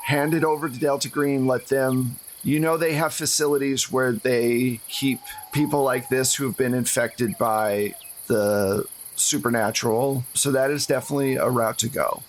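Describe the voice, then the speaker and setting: A man's voice: mid-range voice, steady tone, moderate pace, slight background noise. A man acting as game master, improvising tabletop game narration in a conversational way.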